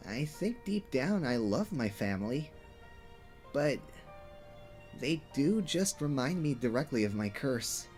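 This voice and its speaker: A man voicing a gentle, lonely young cursed character in an animated fan dub, hesitant and apologetic as he confides. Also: mid-range voice, slow. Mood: sad, apologetic. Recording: music playing behind the voice.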